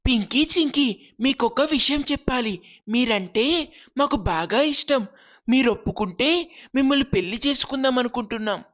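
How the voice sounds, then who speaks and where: A hopeful, friendly young suitor in a storybook cartoon, played by a woman. The voice is high and shy, with a dull lo-fi sound. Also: moderate pace, a bit bright, lightly acted.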